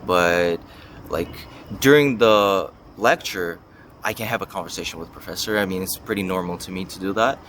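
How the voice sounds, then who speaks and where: A man's voice: deep voice, moderate pace, fairly bright tone, a little hiss or hum. A young man giving casual interview answers as a university student, thinking aloud and hedging as he goes. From Russia and the US. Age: young adult.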